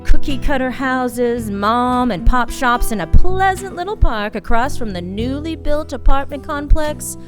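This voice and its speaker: A woman's voice: high-pitched, expressive, measured pace, hardly any pauses, over music. A woman playing a tough, wry female private detective in a noir radio play, with a hard-boiled, clipped, wisecracking delivery. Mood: wry narration.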